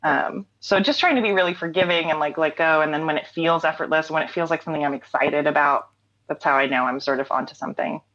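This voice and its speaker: Low-voiced woman musician on a muffled line. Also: fast talker, a bit bright. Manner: thoughtful, frequent "um" and "like", laughs easily. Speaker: guest, singer-songwriter. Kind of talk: reflective conversational interview.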